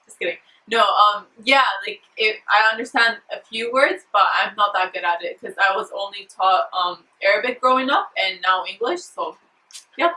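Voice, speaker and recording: A woman's voice: mid-range voice, animated, normal speed, pushed and bright, reverberant, recorded from a distance, low-quality recording. A woman in her twenties, a beauty vlogger and makeup artist, chatting casually and jokingly while answering viewer questions at home. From Afghan and Syrian family. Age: 20s. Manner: giggly, jokey, chatty with many fillers. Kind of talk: casual Q&A chat to camera.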